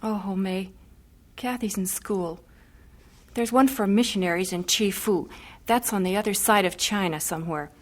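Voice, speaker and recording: An actress playing an affectionate, strong-willed missionary wife in an old radio drama. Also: female voice, mid-range voice, moderate pace, close to an everyday voice. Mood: joyful, affectionate.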